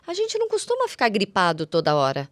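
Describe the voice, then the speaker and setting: A woman's voice: medium pitch, huge pitch swings, quick pace, dry recording. Brazilian woman explaining, dry close sound. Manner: didactic, repeats words for emphasis; uses affectionate diminutives. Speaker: guest ophthalmologist. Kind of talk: conversational medical explanation for lay audience.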